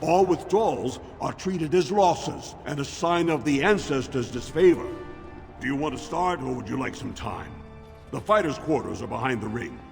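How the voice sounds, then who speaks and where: An actor playing a businesslike dwarf arena official in a video game, brisk and formal, with a creaky voice. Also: male voice, mid-range voice, animated. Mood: formal warning. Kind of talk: video game character acting. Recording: long reverb, music behind.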